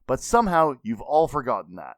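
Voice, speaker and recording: A man's voice: medium pitch, big pitch swings, fairly quick, no room sound, clean studio sound, close to an everyday voice. A man voicing a cocky anime mentor who lectures bluntly and mockingly. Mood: scolding. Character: cocky, scolding adult anime mentor, a self-declared commoner.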